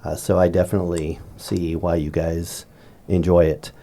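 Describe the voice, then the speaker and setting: Deep, mellow-voiced male interviewer. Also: flat delivery, normal speed, creaky. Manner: friendly, jokey questions with fillers. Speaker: school podcast host. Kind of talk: casual interview.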